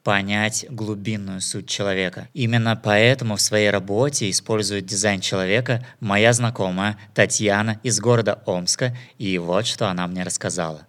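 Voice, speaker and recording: A man with a somewhat low voice narrates in a smooth, even, scripted way, tying the stories together as the presenter. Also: normal speed, few pauses.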